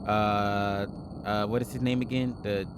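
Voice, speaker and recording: Man reacting casually over loud background noise. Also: fairly low pitch, fairly even intonation, measured pace. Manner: slangy, informal, exclaims between read lines. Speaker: host of a horror reaction video. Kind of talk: casual reaction commentary mixed with reading on-screen text aloud.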